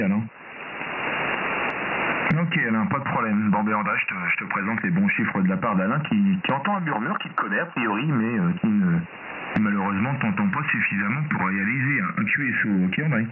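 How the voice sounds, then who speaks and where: Lowish French man over noisy shortwave radio. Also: expressive, moderate pace. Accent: French from France. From France. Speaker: amateur radio operator. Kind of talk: casual ham radio chat with spelled call signs. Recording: noisy, narrow-band, distant shortwave radio. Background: radio static and clicks.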